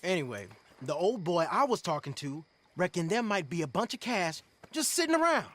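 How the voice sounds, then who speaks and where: A young actor playing an eager, cocky outlaw with a chatty, excitable manner. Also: male voice, high voice, moderate pace, exaggerated voice.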